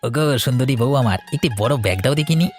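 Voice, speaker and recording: A man's voice: medium pitch, animated, brisk, close to an everyday voice. Man acting swaggering, threatening village crook, music behind. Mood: boastful. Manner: threatening, insolent, slangy. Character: swaggering young male village crook, bullying and boastful. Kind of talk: cartoon folk-tale acting.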